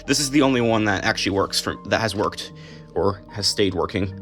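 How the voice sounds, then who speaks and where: An American man giving a casual, unscripted show-and-tell, halting and restarting as he goes. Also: lowish voice, a bit bright, faint music behind. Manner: halting, unscripted, trailing off mid-thought. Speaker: video creator showing a collection.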